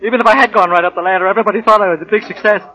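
An actor playing a timid, self-pitying office clerk who stammers anxiously, in 1940s radio drama style. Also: male voice, sits high, steady tone, very fast, pushed and bright, muffled recording. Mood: dejected, bitter.

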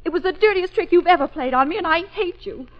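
Female sidekick for vintage radio comedy: exasperated young secretary, high voice, earnest and protesting, muffled recording. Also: animated, quick pace. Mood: tearful anger. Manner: protesting, earnest, put-upon. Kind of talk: old-time radio comedy-mystery acting.

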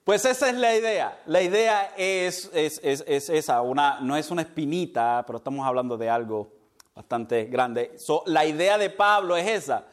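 Male pastor, lively sermon delivery, mixes in English, laughs off his own slips. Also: fairly high pitch, normal speed. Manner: animated preaching, mixes in English words; stumbles over words and laughs at himself.

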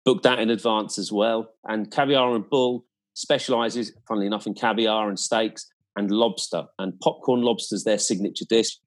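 A man's voice: on the low side, steady tone, moderate pace, few pauses. A man in a casual, conversational chat, recounting his experiences as a guest in an enthusiastic, descriptive and emphatic way.

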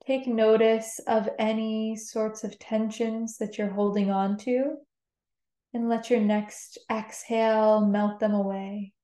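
A woman leading a guided meditation with gentle, soothing instructions. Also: on the high side, flat delivery, slow. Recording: roomy, echoing sound.

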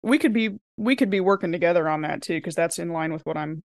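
A woman's voice: lowish voice, fast, dry recording, clean studio sound. A woman playing a tabletop role-playing game, thinking aloud in casual speech as she plans what her character will do.

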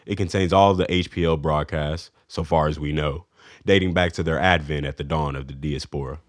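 Cool, wry corporate defense chief, deep and dry. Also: male voice, steady tone, brisk, no echo. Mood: briefing. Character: smug corporate defense chief, middle-aged man. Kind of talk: radio drama acting.

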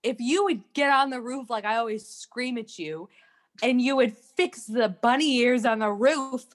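Woman playing an exasperated, high-voiced nagging wife. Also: unhurried, lightly acted. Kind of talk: improv comedy sketch acting.

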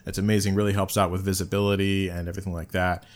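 A man's voice: low voice, flat delivery, very fast. A man hosting a podcast, chatting casually, rambling with lots of fillers and laughing often. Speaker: podcast host.

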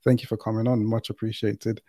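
A man hosting an interview in a mellow, warm tone. He sounds easygoing and laughs along with his guest. His voice sits close to the mic, with no room echo. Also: fairly low pitch, level tone, fairly quick. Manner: easygoing, laughs along, repeats short phrases. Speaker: podcast host. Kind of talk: casual interview talk.

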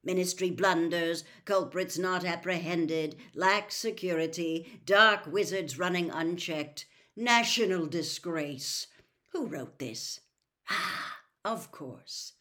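A woman's voice: fairly low pitch, takes it slowly, fairly bright tone. A woman voicing a weary, kindly middle-aged wizard father who speaks heavily and soothingly. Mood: heavy. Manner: heavy, soothing, exasperated.

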